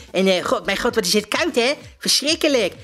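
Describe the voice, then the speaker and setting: A man playing an excitable, easily flustered male podcast host in a comedy show, giggling, exclaiming and rambling hesitantly. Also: very high-pitched, moderate pace, soft background music, full character voice. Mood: cheerful, giggly. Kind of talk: comic mock podcast presenting.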